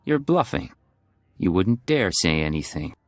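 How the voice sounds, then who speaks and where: An actor playing a cool secret agent in a video game, terse and controlled. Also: male voice, low voice, sing-song, unhurried, soft background music. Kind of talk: video game character dialogue.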